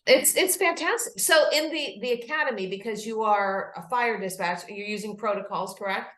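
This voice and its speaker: A woman's voice: mid-range voice, moderate pace, a bit bright. A woman hosting an interview podcast, thinking aloud as she asks questions and reacting with exclamations. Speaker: podcast host. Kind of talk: conversational interviewing. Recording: roomy, echoing sound.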